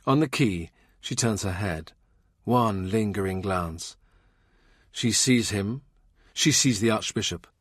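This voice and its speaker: Measured male audiobook narrator, dry sound. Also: lowish voice, no room sound. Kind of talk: scripted literary narration of a historical novel.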